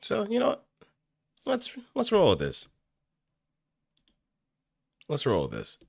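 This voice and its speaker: A man talking casually and off the cuff as he explains voice acting, thinking aloud in an animated, demonstrative way. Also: a little high, slow pace, gravelly, husky, no room sound, clean studio sound. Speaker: amateur voice actor. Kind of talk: casual explanatory talk, improvised voice acting tutorial. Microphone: close studio microphone through audio interface.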